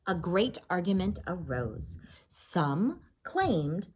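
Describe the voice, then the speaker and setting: Slow, very animated woman reading to children. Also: fairly low pitch, breathy edge. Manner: expressive, addresses young listeners with questions. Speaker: storyteller, teacher reading to children. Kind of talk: animated read-aloud of a children's holiday story.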